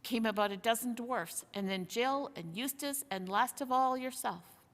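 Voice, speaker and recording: A woman's voice: on the high side, normal speed, a bit bright. A woman voicing a matter-of-fact, protective older brother in a storybook, telling things bluntly. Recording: long hall-like reverb.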